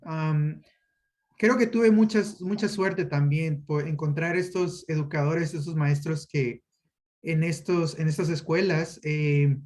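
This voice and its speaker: A man with a Mexican accent speaks in a fairly high voice. He answers as an academic guest in an interview, conversational in style. He often hesitates as he searches for words. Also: fairly even intonation, slow pace, darkish tone. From Oaxaca, Mexico. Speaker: guest, university professor and researcher.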